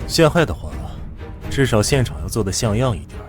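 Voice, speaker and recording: An actor playing a cold, possessive young crime heir in an animated drama, speaking with controlled, cool insistence. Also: male voice, medium pitch, brisk, music in the background, close to an everyday voice. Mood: cold, mocking.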